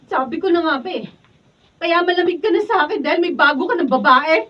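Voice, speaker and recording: Defiant materialistic young woman, Filipino radio drama, very animated, dull distant recording. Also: extremely high pitch, brisk. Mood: hurt, furious. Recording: dull, distant room recording, faint noise.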